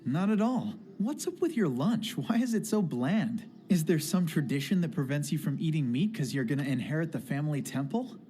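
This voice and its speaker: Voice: medium pitch, expressive, a little fast, few pauses, husky. An actor playing an earnest, idealistic teenage schoolboy in an English anime dub, casual but stubborn. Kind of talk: anime English dub dialogue and inner monologue. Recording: loud music under the voice.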